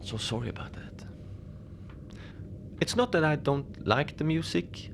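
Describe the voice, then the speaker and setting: A man playing a mild, unbothered middle-aged station manager in a cozy audio drama, confiding in a halting, rambling, understated way. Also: on the high side, expressive, vocal fry, noisy background. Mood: apologetic. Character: mild, unbothered lone Antarctic night-shift station manager. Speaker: podcast fiction narrator, lone facility manager on radio.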